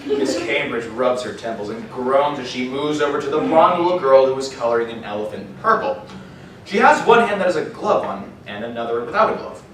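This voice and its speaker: A man narrating a scripted story in an animated, storytelling style at a live panel. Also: medium pitch, fairly quick. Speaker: narrator of a live audio drama table read. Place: large indoor panel room at a convention. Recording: echoey convention hall, distant mic, faint noise.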